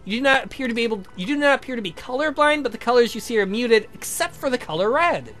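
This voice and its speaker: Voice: medium pitch, lively intonation, fast, a bit bright, faint music behind, lightly acted. Someone putting on a precise, pedantic young male scientist. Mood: clinical. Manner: precise, formal, explanatory.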